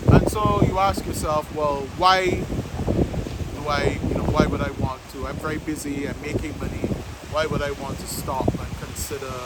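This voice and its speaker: A man in his fifties giving an informal explanatory talk to camera outdoors, speaking deliberately in short, halting phrases. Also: on the high side. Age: 50s. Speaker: vlog host, business owner. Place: outdoors by the sea, west coast of Barbados. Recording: loud wind noise on microphone.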